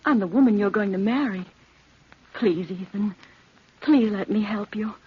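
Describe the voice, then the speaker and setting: A woman's voice: mid-range voice, measured pace, husky. An actress playing a devoted, trusting, lovelorn woman in an old radio drama, breathless and pleading. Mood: eager pleading. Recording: dull, lo-fi old radio recording.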